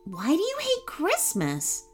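A woman's voice: very high-pitched, wildly swooping pitch, takes it slowly, faint music behind, voice pushed up, exaggerated voice. A woman voicing an earnest, eager young boy hero in a children's story, speaking with emphatic swoops. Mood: pleading.

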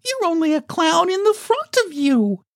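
A man's voice: very high voice, sing-song, moderate pace, vocal fry, a bit bright, no echo, studio quality, voice pushed up, full character voice. A man voicing an outspoken, indignant little girl in a children's story, frank and reproving. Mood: amused.

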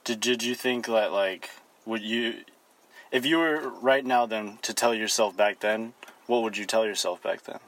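A man's voice: fairly low pitch, moderate pace, fairly bright tone. A young man co-hosting a podcast, asking easygoing, slangy follow-up questions. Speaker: podcast co-host. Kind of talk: casual podcast interview.

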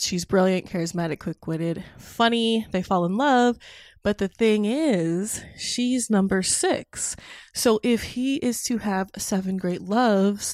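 A woman reading a podcast sponsor message in an upbeat, brisk way. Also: medium pitch, dry recording, clean studio sound. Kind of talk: read ad.

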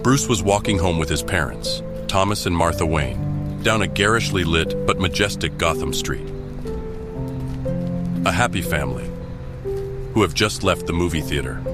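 A man narrating an audio drama in a measured, dramatic style. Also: sits low.

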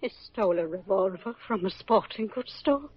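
A woman's voice: mid-range voice, expressive, moderate pace, husky. An actress playing an anxious, humble grandmother and cleaning woman in an old radio drama, deferential and pleading. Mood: distressed, tearful. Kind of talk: 1940s-50s radio drama acting. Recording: dull old radio recording.

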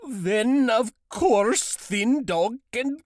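A man's voice: extremely high pitch, expressive, drawn-out words, no room sound, heavily acted. A man playing a surly, blustering dwarf tunneler in a fantasy audio comedy. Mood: alarmed. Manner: gruff, blustering. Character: surly, menacing dwarf tunneler, cowardly underneath.

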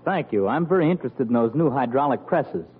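An actor playing a polite, earnest young college student in a 1940s radio comedy. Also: male voice, medium pitch, expressive, brisk, husky. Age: young adult. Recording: tinny old radio broadcast.

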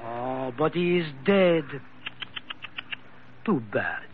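High, lilting, jaunty Frenchman. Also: animated, very slow. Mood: mild regret. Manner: blithe, theatrical, formal phrasing. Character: jaunty, coolly ruthless French insurance investigator. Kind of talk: 1940s radio adventure acting. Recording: muffled, hissy vintage radio.

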